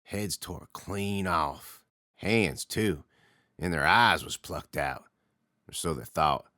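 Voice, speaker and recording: A man voicing a teasing teenage older brother who tells spooky tales in a slow, low drawl. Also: pushed and bright, no room sound, studio quality, exaggerated voice. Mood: ominous.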